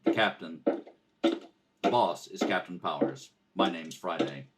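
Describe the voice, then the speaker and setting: A man playing a terse, stoic police detective with a deadpan, clipped, polite delivery in a radio crime drama. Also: low-pitched, measured pace, slight background noise, pitched below the performer's own voice. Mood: matter-of-fact.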